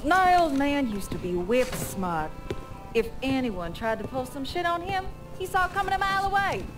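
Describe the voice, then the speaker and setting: A woman's voice: high-pitched, sing-song, moderate pace. An actress playing a tough, wry young woman smuggler in a crime game, talking bluntly and casually. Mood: bitter, weary. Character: tough, foul-mouthed young female smuggler, wry and weary. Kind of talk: cinematic crime game dialogue. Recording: music behind the voice.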